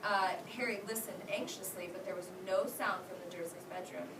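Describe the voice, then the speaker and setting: A woman's voice: mid-range voice, fairly even intonation, a little fast. Woman teacher in a roomy, noisy classroom. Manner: questioning, prompting listeners. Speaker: elementary school teacher. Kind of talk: interactive read-aloud to a class. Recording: roomy, distant mic, clearly noisy.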